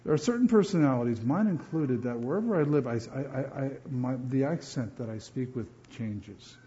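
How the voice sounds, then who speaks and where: A man from New Jersey preaching a sermon as pastor, animated and often repeating words as he builds emphasis. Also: medium pitch, normal speed, muffled recording.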